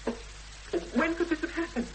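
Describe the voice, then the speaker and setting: An actress playing a gentle, anxious young woman, hesitant and pleading in a 1970s radio drama. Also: extremely high pitch, fairly quick. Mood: worried. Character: anxious, gentle young woman investigating her sister's disappearance. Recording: noisy old radio broadcast, hum and crackle.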